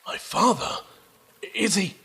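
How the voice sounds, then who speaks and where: A man playing a dutiful young army captain in an audio drama, earnest and measured. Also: sits high, expressive, gravelly, pushed and bright, husky, heavily acted. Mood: subdued, grave.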